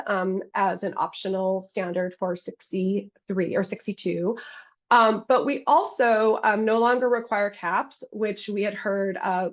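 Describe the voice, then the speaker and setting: A woman with a cold, hoarse, moderating a webinar and leading the questions, with plenty of ums. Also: mid-range voice, lo-fi sound. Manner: frequent ums, conversational and apologetic. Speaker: webinar moderator for a state health program. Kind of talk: hosting a webinar Q&A.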